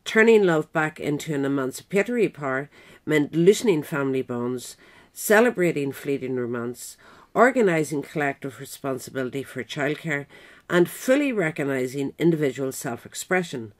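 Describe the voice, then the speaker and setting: A narrator reading a scripted essay in a measured, deliberate manner. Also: mid-range voice, expressive. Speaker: narrator, reader.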